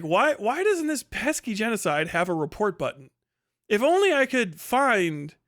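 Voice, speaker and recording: A man's voice: sits high, fairly bright tone. A man hosting a livestream, giving a casual, opinionated rant in a blunt, scornful, profane manner. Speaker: livestream host and commentator.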